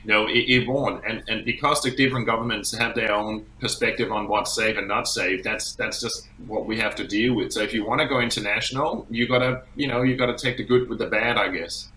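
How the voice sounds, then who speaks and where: A man's voice: fairly low pitch, steady tone, a little fast, few pauses, sharp tone. A man from Denmark talking as an industry guest in a conversational interview, often repeating words as he thinks aloud. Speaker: hospitality industry expert guest. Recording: roomy, echoey sound.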